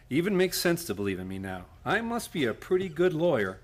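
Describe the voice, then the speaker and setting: An actor playing a wry, good-humored lawyer in a live old-time radio play. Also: male voice, on the high side, big pitch swings, normal speed. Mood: wry, amused. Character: good-humored male lawyer, wry, tender romantic lead.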